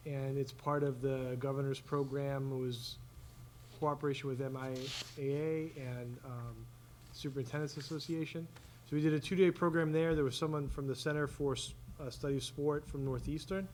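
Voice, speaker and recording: A man's voice: medium pitch, steady tone, normal speed, darkish tone. A man speaking as a school administrator, giving an enthusiastic informal report at a public meeting. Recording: noisy public meeting room recording.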